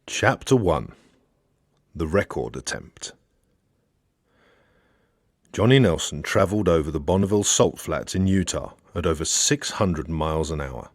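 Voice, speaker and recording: A man reading a satirical novel as an audiobook narrator, in a measured storytelling way with expressive emphasis. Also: a bass voice, no echo, clean studio sound.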